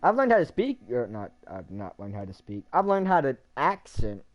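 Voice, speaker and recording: A man chatting casually and profanely while playing a game, with sudden loud outbursts. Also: medium pitch, wildly swooping pitch, moderate pace, lo-fi sound. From United States. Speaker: gaming video creator. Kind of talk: casual gameplay chat.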